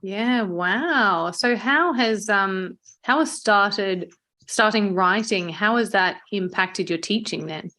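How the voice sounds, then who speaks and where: Bright-voiced woman hosting an interview. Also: medium pitch, slow. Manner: encouraging, affirming. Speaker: podcast host, interviewer. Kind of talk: casual interview.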